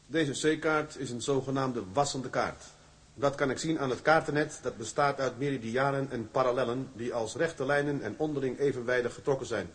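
An actor as a very husky young sailor. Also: male voice, mid-range voice, fairly even intonation, moderate pace, lightly acted. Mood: reciting. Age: young adult. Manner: respectful, polite. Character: young male sailor, earnest and restless. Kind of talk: radio drama acting.

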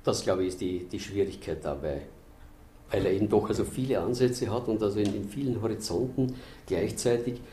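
Mellow halting man in echoey room. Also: lowish voice. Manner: halting, searching for words. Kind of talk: unrehearsed interview answer. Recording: echoey room with faint noise.

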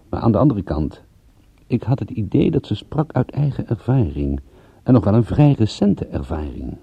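A man playing a wry, pensive private detective, narrating his story in a measured, musing way in a radio play. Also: sits low, slightly rough, dry recording, muffled recording, exaggerated voice.

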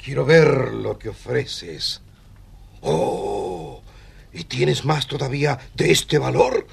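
An actor playing a sly, self-important merchant in a children's story record, raspy, theatrical and insinuating. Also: male voice, mid-range voice, big pitch swings, slow pace, exaggerated voice. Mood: sly, probing.

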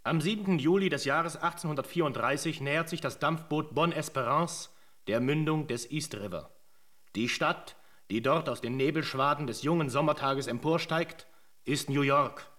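A man narrating a German radio drama as a solemn chronicler, in measured, scripted literary sentences. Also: a little high, a bit bright, lightly acted. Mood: factual, measured. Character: solemn male chronicler of an emigrant's tale.

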